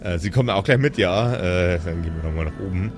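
A man's voice: low-pitched, fast talker, a little hiss or hum, pitched below the performer's own voice, close to an everyday voice. A man voicing a flustered prison guard in an improvised audio game, stammering and eager to comply. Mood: nervous, obliging.

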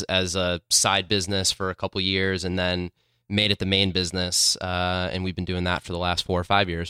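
A man's voice: low voice, sharp tone, clear, resonant tone, no room sound, clean studio sound. A man in his thirties from Illinois, a company co-founder answering interview questions in a rambling way, full of fillers. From Illinois, USA.

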